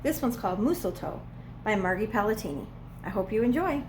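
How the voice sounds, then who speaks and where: A woman reading a children's storybook aloud as a preschool teacher would, with expressive, playful emphasis. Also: mid-range voice, a little fast, a little hiss or hum, poor audio. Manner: dramatic, playful emphasis on repeated words.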